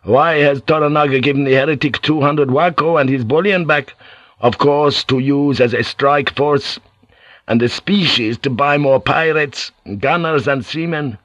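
An anxious, fervent priest, as a male reader puts him on in a storybook. He talks urgently and frets, pitched well above the reader's own low voice. The sound is close and dry. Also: unhurried, fairly bright tone, dry recording. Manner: raised, urgent and fretful.

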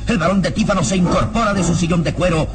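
A male narrator with a fairly high voice tells a vintage radio drama. His delivery is grave, theatrical and full of suspense, with clear music behind him. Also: quick pace.

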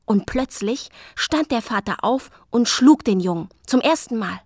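An actress playing a queen's gentle female companion in a radio drama, speaking in a measured, deliberate storytelling way. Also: fairly high pitch, lively intonation, no echo, clean studio sound. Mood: intent storytelling.

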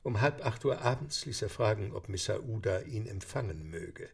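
A man's voice: lowish voice, lively intonation, moderate pace, gravelly, darkish tone, breathy edge. A man narrating a radio play in a vivid storytelling manner.

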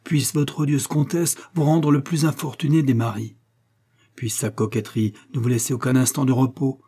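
A man's voice: mid-range voice, level tone, normal speed, warm tone, husky, voice pushed up. Man putting on a melodramatic, imperious society lady. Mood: cursing, theatrical. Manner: declamatory, mock-tragic. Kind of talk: comic literary audiobook dialogue.

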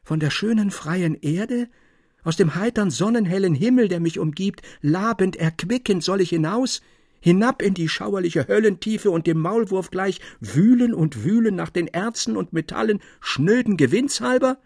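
A man's voice: high voice, expressive, normal speed, a little mellow, breathy edge, no echo, clean studio sound, voice pushed up, exaggerated voice. A man voicing a dreamy, melancholy young sailor with a fervent, dramatic delivery in an audio story. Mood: horrified protest.

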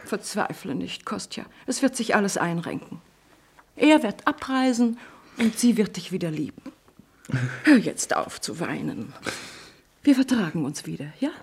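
A woman's voice: on the low side, very animated, moderate pace, husky. An actress playing a proud, vain middle-aged actress and mother in a stage drama, scornful then soothing. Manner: swings between scorn and soft whispers.

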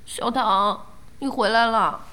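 High-voiced, emotional young woman fan. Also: takes it slowly, slight background noise. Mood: weary. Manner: earnest, expressive. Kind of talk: Chinese radio drama acting. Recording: music behind the voice.

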